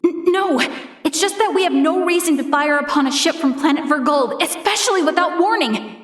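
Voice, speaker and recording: A woman's voice: extremely high pitch, fairly quick, no room sound, studio quality. A woman voicing an earnest, principled young female first officer of a starship in a video game, with formal, precise diction. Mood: urgent, protesting.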